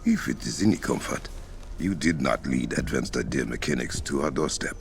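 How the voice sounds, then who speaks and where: A regal warrior king for a video game, with an extremely low, gravelly voice and an African accent. He speaks in formal, measured commands, his pitch moving expressively, with faint score music underneath. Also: lively intonation, heavily acted. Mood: calm authority. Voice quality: deep, gravelly. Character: dignified warrior king and masked protector of his nation. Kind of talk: video game cinematic acting.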